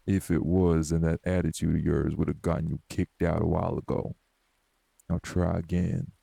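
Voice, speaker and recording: A man playing a morose older wraith in an audio drama, blunt, folksy and scolding, with a husky, gravelly voice. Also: little pitch movement, normal speed, no echo, heavily acted. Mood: gruff, challenging. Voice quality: very gravelly, husky, dark low voice. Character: morose older male wraith, rural homebody, stern but hospitable. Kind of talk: dramatic audio roleplay acting.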